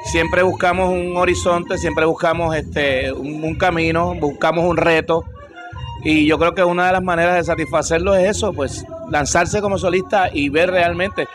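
Puerto Rican male singer, fairly high voice, casual interview with faint music underneath. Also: steady tone, normal speed. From Puerto Rico. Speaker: salsa singer being interviewed. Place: indoor room at a nightclub event. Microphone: handheld interview microphone.

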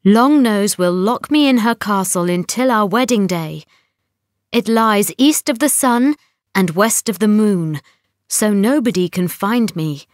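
A woman's voice: mid-range voice, animated, a little slow, no room sound, studio quality, close to an everyday voice. A woman voicing an anguished young prince in a storybook, groaning and sorrowful. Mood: sad, resigned. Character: anguished young royal prince under a troll's spell.

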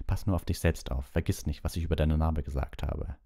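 Man reading as a low-voiced protective fugitive. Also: fairly even intonation, brisk, gravelly, dark tone, heavily acted. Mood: reassuring. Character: protective man in hiding, reassuring letter writer.

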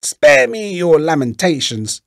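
A man's voice: high voice, big pitch swings, measured pace, gravelly, dry recording, studio quality, voice pushed up, full character voice. A man voicing a wicked old witch from a storybook, cruel, bossy and scolding. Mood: scornful. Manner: scolding, commanding. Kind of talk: storybook character voice.

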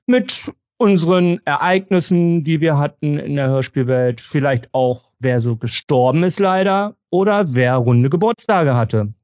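A man's voice: a little high, a little mellow, no room sound, studio quality. A man hosting a podcast, casually moderating a discussion. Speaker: podcast host.

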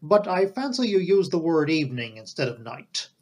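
Man playing a suave, dignified butler, husky voice. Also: fairly high pitch, very animated, a little mellow. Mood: formal, dignified. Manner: deferential, measured, formal. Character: suave, imperturbable, dignified English male butler. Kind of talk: audiobook character dialogue.

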